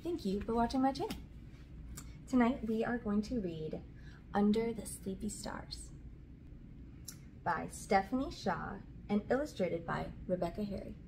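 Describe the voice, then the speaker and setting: A woman in her thirties hosts a storybook read-aloud for children in a casual style. Her pitch is medium. The recording is made in a room with clear background noise. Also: lively intonation, a little slow, long pauses. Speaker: host reading children's books aloud. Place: small indoor room with curtain backdrop.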